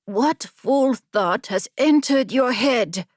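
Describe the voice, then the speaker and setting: A woman's voice: on the high side, lively intonation, slow pace, no room sound, studio quality, pitched above the performer's own voice. A woman narrator voicing a loyal, pestering young apprentice horsemaster in an audiobook, exasperated and wry. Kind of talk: audiobook character dialogue.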